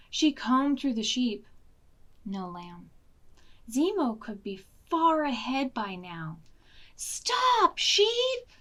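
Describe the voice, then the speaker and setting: A woman reading a picture book aloud to preschoolers as a storytime reader, with dramatic emphasis. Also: on the high side. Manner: dramatic emphasis, questions to young listeners. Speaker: children's library storytime reader.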